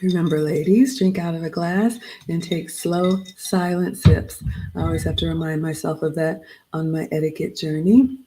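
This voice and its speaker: A woman's voice: low voice, fairly even intonation, moderate pace, mellow, a little hiss or hum, low-quality recording. A middle-aged woman from Ghana, chatting casually with viewers in a livestream as she looks back on a trip and greets people by name. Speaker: vlog host. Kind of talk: casual livestream travel recap.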